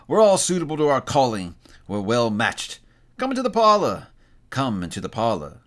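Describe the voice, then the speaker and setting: A man's voice: medium pitch, big pitch swings, normal speed, close to an everyday voice. A man voicing a sly, jovial old miser of nearly seventy, a rag dealer in a storybook, folksy and wheedling. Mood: jovial, welcoming. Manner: wheedling, folksy, self-satisfied. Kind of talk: storybook character reading.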